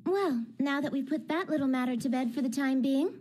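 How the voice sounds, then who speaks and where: A woman playing a confident, bossy teenage girl mage in an English anime dub, brisk and teasing. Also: sits high, close to an everyday voice. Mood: breezy. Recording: loud guitar music behind the voice. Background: loud guitar music.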